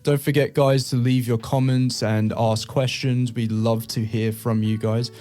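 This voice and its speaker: A man's voice: mid-range voice, little pitch movement, a little slow, a little mellow. Chatty English man hosting a podcast. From England. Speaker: podcast host. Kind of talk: casual podcast chat.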